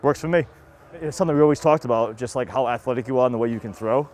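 A man's voice: mid-range voice, very fast, darkish tone, slight background noise. A man with a Massachusetts accent coaching baseball catching drills in casual, encouraging, slangy bursts. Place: outdoor batting cage and bullpen.

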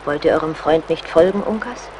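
An actress in a German radio play as a brave, self-sacrificing young colonel's daughter, resolute and pleading. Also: female voice, deep voice, brisk, darkish tone, a little hiss or hum, close to an everyday voice, low-quality recording.

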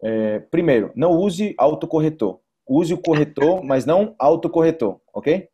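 A man's voice: a little high, expressive, normal speed, dark tone, slightly rough, dull audio. A young man with a Brazilian accent tutoring informally over a video call, explaining and repeating points for emphasis. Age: young adult. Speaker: Portuguese teacher. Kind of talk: informal one-to-one online tutoring. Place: indoor room, video call.

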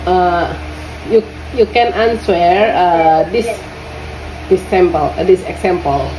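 A woman from Indonesia with an Indonesian accent teaching English to young students in an online lesson. She speaks haltingly, repeats her questions and fills her pauses with "uh". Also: on the low side, mellow. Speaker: English tutor. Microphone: lesson audio recorded on a phone. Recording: roomy phone recording with faint noise.

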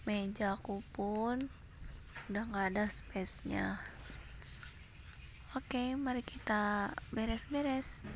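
A young woman from Indonesia giving a chatty, relaxed voice-over for her cleaning vlog, slipping in English phrases. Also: mid-range voice, slow pace, pauses often, lo-fi sound, a little hiss or hum. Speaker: vlogger. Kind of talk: casual voice-over. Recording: voice-over with background music.

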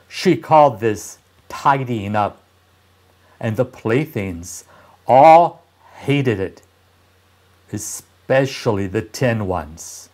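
A man in his sixties reading a children's story aloud expressively, with deliberate pauses and dramatic emphasis. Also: mid-range voice. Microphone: lapel mic.

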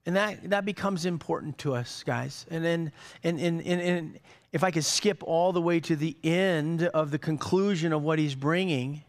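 A middle-aged man preaching a sermon on a church stage, animated, with many repeated words and restarts. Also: fairly high pitch, moderate pace. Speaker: pastor.

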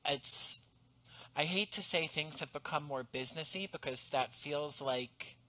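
Thoughtful guy in his 30s talking about his own show on a headset call, crisp bright tone, measured and earnest. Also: fairly high pitch, low-quality recording. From US East Coast. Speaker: podcast creator and guest. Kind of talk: reflective conversational interview. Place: home room, on a video call. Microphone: headset boom mic.

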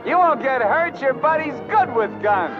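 A man's voice: very high voice, animated, moderate pace, music in the background. An actor playing a menacing, taunting gunman who gives curt, sneering orders in 1950s film noir style. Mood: taunting, shouting orders. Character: menacing, taunting gunman holding two men hostage. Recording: dull old 1950s film soundtrack.

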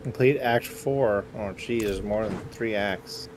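A man's voice: fairly low pitch, unhurried, background noise. A man in casual chat as he plays a co-op video game. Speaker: co-op gamer. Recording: game music behind the voice.

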